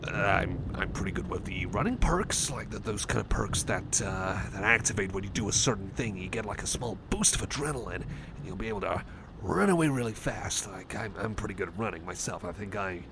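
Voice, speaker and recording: Man playing a shy, stammering, gravelly cyborg friend. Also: lowish voice, expressive, fairly quick, background noise. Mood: excitable, rambling. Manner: stammering, hesitant, apologetic. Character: shy, anxious, affectionate young male cyborg friend. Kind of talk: ASMR roleplay, intimate one-sided chat.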